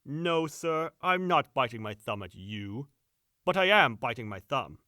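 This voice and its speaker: A man performs a brash young servant boasting crudely in an audio play. The voice is bright and swings widely in pitch. It is recorded dry and close. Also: very animated, unhurried, dry recording. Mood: cocky. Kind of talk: audio drama acting.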